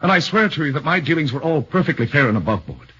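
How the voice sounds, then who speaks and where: A man's voice: a little high, sing-song, fast, pushed and bright, slightly rough, full character voice. An actor playing an earnest, defensive young man accused of murder, insisting on his honesty, in 1940s radio acting. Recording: dull 1940s radio broadcast.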